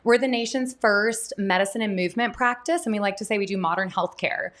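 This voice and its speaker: A woman's voice: medium pitch, brisk, fairly bright tone. A woman speaking as a panelist about her work, upbeat and conversational. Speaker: panelist, wellness company founder. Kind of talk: conversational business introduction. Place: inside a wellness center.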